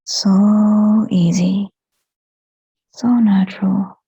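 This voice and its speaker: A woman guides a meditation in a very breathy, whispered voice. Her delivery is extremely slow and gentle, with little rise or fall in pitch and long pauses between short soothing phrases. The recording is dry and close, and slightly dull. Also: level tone, no room sound. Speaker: hypnotherapist guiding a meditation. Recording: dull, muffled lo-fi sound.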